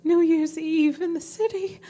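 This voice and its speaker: Radio drama newswoman, played by an actress: high-pitched, grave and frightened, reporting an invasion in halting, dramatic bursts. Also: fairly even intonation, warm tone. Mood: grave, resigned. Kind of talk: radio play news bulletin acting.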